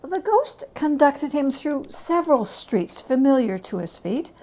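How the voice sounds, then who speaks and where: A woman giving a measured, expressive dramatic reading of a classic story as a storyteller. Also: high voice, low-quality recording.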